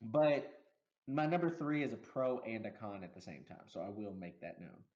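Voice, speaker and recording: A man co-hosting a casual movie review podcast, animated and laughing often as he acts out lines from the film. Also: lowish voice, moderate pace, lo-fi sound. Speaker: podcast co-host.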